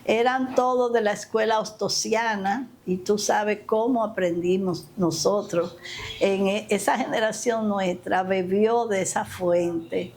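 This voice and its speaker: A woman's voice: medium pitch, measured pace. An older woman with a Dominican accent, speaking conversationally as an interview guest and telling her recollections emphatically. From Dominican Republic, Santiago and the capital. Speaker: interview guest, community leader. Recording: steady ambient background noise.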